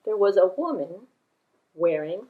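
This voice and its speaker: A woman's voice: on the low side, very animated, unhurried, phone-quality sound. A woman storyteller narrating a puppet show for children, with sing-song, repetitive emphasis.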